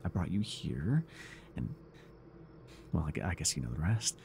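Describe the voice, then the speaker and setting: A man playing a devoted, obsessive, fawning cult follower in a theatrical, halting ASMR roleplay. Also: extremely low pitch, very animated, a little mellow, slight background noise. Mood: reverent, eager. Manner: theatrical, breathy sighs, nervous chuckles, halting. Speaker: voice actor.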